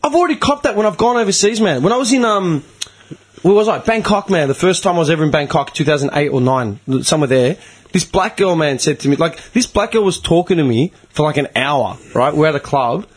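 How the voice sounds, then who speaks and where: A man's voice: a little high, fairly quick, slightly rough. A man in his thirties with an Australian accent, telling stories in a casual, swearing-heavy chat. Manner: swears a lot, animated storytelling.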